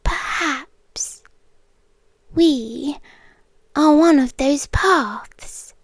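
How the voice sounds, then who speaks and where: High-pitched, slow British actress as possessive monster girl. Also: dry recording, full character voice. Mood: quietly menacing. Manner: measured, formal, often dropping into whispers. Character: obsessive yandere female monster with tail, claws and venom. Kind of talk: intimate ASMR roleplay monologue.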